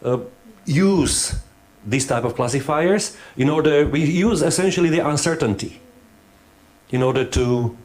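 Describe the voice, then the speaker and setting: A man's voice: fairly high pitch, animated, a little slow, darkish tone. A man who is not a native English speaker, giving a hands-on workshop lecture. He thinks aloud and halts often, restarting his sentences.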